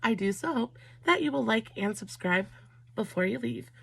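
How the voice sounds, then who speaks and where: A woman vlogger, slightly hoarse from a cold, chatting effusively while doing her makeup and telling a story. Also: a little high, expressive. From Southern United States. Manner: warm, effusive, drawn-out emphatic phrasing. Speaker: beauty vlogger.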